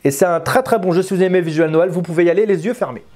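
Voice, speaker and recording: A man in his thirties with a fairly high voice talks to camera as a game reviewer. His delivery is informal and chatty, and he repeats and corrects himself as he goes. He speaks into a lapel mic in a small indoor room. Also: racing, a little mellow, faint music behind. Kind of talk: casual spoken review to camera.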